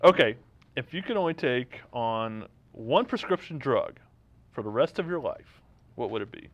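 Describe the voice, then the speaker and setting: A man's voice: mid-range voice, lively intonation, normal speed. Casual male co-host, slightly muffled sound. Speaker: podcast co-host. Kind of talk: casual comic chat.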